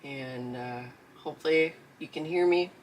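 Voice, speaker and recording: A man's voice: fairly high pitch, normal speed. A man from southeastern Michigan hosting a livestreamed crafting class, chatting casually with fillers, his voice scratchy from allergies. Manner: rambling, chatty, many fillers. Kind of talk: casual livestream chat before a lesson.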